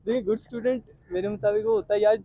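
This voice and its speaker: A man's voice: high voice, steady tone, fast talker, no echo, phone-quality sound. A man in his late teens with a Pakistani accent, talking casually as an interview guest and mixing in English. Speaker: interview guest, young tech entrepreneur.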